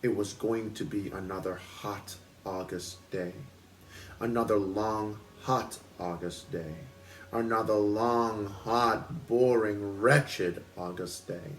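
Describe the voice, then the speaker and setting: A man's voice: on the low side, slow, a bit bright. A man hosting a book club, reading aloud expressively at home in a storytelling style.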